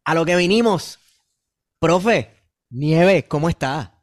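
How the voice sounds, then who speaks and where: Young Puerto Rican male podcast host, relaxed, chatty, loose delivery. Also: on the high side, takes it slowly. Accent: Puerto Rican Spanish. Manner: relaxed, chatty, filler-heavy. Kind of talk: casual conversational podcast.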